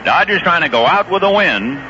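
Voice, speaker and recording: A male play-by-play announcer calls a baseball game on a vintage 1970s radio broadcast. His voice sits a little high and moves a lot, brisk and climbing on the big plays. The sound is muffled and lo-fi, with a thick layer of hiss underneath. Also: animated, bright, forceful tone. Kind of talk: live baseball radio commentary. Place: ballpark broadcast booth.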